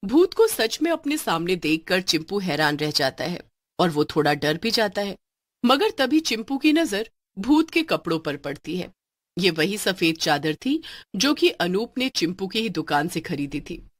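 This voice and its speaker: A woman's voice: lowish voice, very animated, measured pace. A woman reading a scripted story as its narrator.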